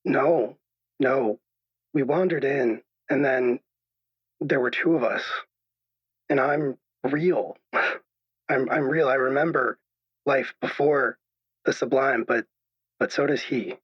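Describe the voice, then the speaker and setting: A man playing an anxious, troubled caller to a radio show, speaking in halting, apologetic stammers. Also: mid-range voice, husky. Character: anxious, troubled male caller unsure of his own reality. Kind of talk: improvised radio drama. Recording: dull lo-fi echoey call sound.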